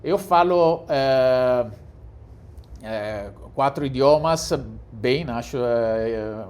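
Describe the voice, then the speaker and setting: A man's voice: medium pitch, drawn-out words, darkish tone. A middle-aged Italian man with an Italian accent, a guest in an interview, speaking with many fillers and restarts. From Italy. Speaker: guest, cruise executive. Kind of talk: casual interview talk.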